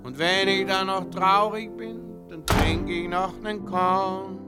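A man's voice: sits high, fairly even intonation, measured pace. A man playing a tipsy, stubborn fellow singing a drinking song in an audio musical, with music behind. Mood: merry, stubborn.

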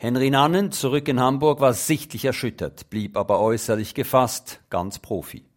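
A man, a radio correspondent telling a story from memory in a measured, reflective way. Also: medium pitch. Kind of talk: radio news feature narration.

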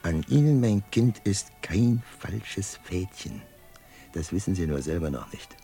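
A lonely old carousel owner, his voice low, gravelly and husky, swinging up and down as he coaxes and pleads. Faint music plays behind this old radio play scene. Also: expressive, unhurried, dark tone, full character voice. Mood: coaxing. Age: older. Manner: coaxing, persuasive. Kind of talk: 1960s radio play acting.

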